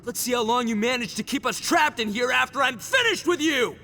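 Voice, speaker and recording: An actor playing a brash, hot-blooded young fighter in a video game, boisterous and bold. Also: male voice, very high voice, lively intonation, fairly quick, pushed and bright, soft background music, full character voice. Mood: fired up.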